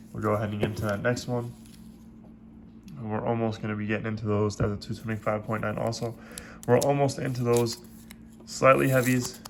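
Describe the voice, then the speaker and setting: A man with a stuffed-up, congested voice hosting a coin roll hunting video, giving steady, methodical play-by-play from his desk. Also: lowish voice, background noise. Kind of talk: casual hands-on commentary. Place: at a desk indoors.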